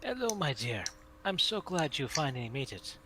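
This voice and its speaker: A man voicing a genteel, dutiful gentleman in a tabletop game, with formal, gracious manners. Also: on the high side, sing-song, normal speed, noisy background. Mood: warmly gracious. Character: genteel, courteous, dutiful man dressed for a festival.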